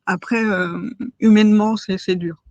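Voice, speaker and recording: A woman's voice: mid-range voice, steady tone, a little slow, darkish tone, dry recording, lo-fi sound. A woman in her forties giving a personal testimony in an interview, hesitant, with many fillers and restarts and words she stresses as if in quotes. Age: 40s.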